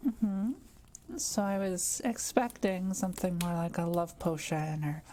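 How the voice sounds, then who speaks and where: A woman playing a kind, thoughtful witch who is proud of her skill, speaking gently and a little hesitantly to the listener in an intimate audio roleplay. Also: fairly low pitch, unhurried, a little hiss or hum, natural voice. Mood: surprised, thoughtful. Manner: gentle, hesitant, explanatory musing with small hums.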